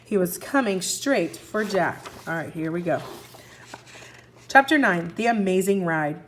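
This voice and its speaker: A woman teacher reading a story aloud to young children, expressively, pausing to recap and ask the listeners questions. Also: fairly low pitch, slight background noise. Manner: expressive, asks listeners questions and answers for them. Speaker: first grade teacher.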